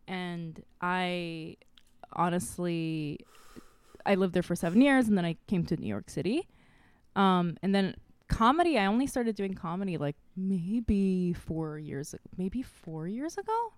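A woman in her thirties from Korea and Saipan, talking as a guest on a comedy podcast. She rambles through her story with plenty of fillers and restarts. Her voice is smooth and clean, and it sits dry and close to the mic. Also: on the low side, lively intonation, unhurried, dry recording. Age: 30s. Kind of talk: casual podcast chat.

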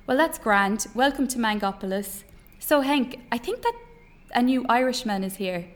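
A woman's voice: on the high side, big pitch swings, normal speed. A woman voices a young Irish lad in a novel, a farm labourer. He is curt and defensive, brushing people off in plain words. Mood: probing. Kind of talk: novel reading aloud.

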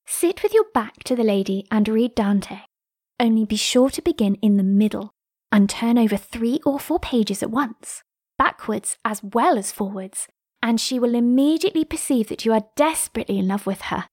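A woman's voice: on the high side, expressive, normal speed, a little mellow, slightly rough, no echo, clean studio sound. A woman playing a coquettish young lady in a classic novel reading, playful, arch and sweetly mocking.

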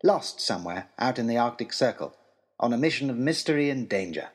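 A man narrating a scripted adventure journal in an expressive, dramatic way with formal period diction. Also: mid-range voice, fairly quick, breathy edge. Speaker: storyteller, first-person narrator.

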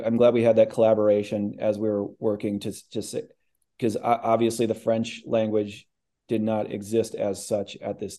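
Midwestern man, low and mellow, rambling interview guest with restarts. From American Midwest. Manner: thoughtful, rambling with many restarts. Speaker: voice actor.

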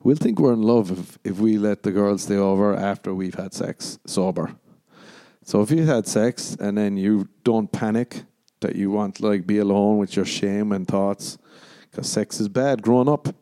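A young Irish man hosting a podcast, rambling and swearing as he jokes, jumping into high squeaky voices for the punchlines. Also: normal speed, dark tone. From Ireland. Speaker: podcast host. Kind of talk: casual comedic monologue.

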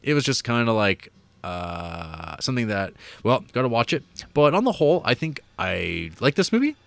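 A man's voice: mid-range voice, big pitch swings, normal speed, vocal fry, no room sound. A man co-hosting a podcast, reviewing a film in a rambling, casual way with lots of fillers and wry asides. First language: English.

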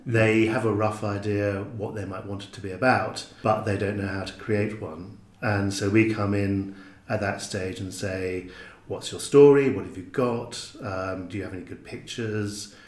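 A man's voice: on the low side, steady tone, normal speed. A man explaining things casually as a guest designer on a podcast. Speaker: interview guest, exhibition designer. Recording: roomy echo.

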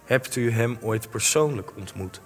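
Blunt, husky young interviewer. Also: male voice, medium pitch, unhurried. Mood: pressing. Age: young adult. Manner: blunt, probing, insistent. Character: blunt, relentless male interviewer confronting a war veteran. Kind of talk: Dutch-language radio play acting. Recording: long echoing hall, music underneath.

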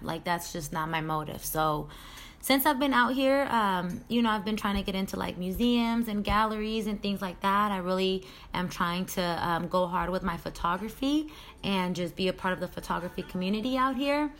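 A woman's voice: mid-range voice, normal speed, fairly bright tone. Chatty woman in her thirties vlogging. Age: 30s. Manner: candid and chatty, with casual swearing and slang. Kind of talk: casual personal vlog talk.

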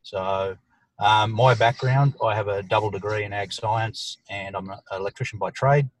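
Low-voiced man, plain-spoken expert. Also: steady tone, moderate pace, slight background noise, rough recording. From far western New South Wales, Australia. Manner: plain and practical, with "um" fillers. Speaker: irrigation technical manager, guest expert. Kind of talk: interview answers. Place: inside a vehicle.

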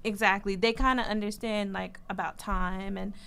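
A woman's voice: medium pitch, fairly even intonation, moderate pace, creaky, a bit bright, smooth. A woman co-hosting a casual podcast chat, laughing easily and leaning on "like" as she talks. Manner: laughs easily, fills speech with "like". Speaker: podcast co-host. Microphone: studio microphone.

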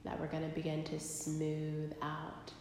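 A woman leading a guided body scan meditation, speaking gently and unhurriedly with long pauses. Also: deep voice, monotone, slight background noise. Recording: very echoey live recording, long reverb.

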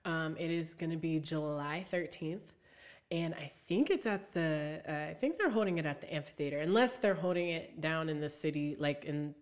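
Low-voiced young woman, chatty and animated. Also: normal speed. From Ohio. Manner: animated, many fillers like "like" and "um", laughs easily. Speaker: singer and guest. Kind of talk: casual interview chat.

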